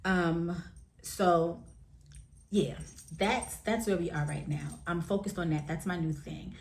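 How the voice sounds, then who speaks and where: A woman in her forties chatting frankly to camera at home, addressing viewers as y'all and jumping up in pitch now and then. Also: slow, a little hiss or hum. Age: 40s. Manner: lively, with sudden jumps up in pitch. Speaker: vlogger. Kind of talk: candid casual chat to camera.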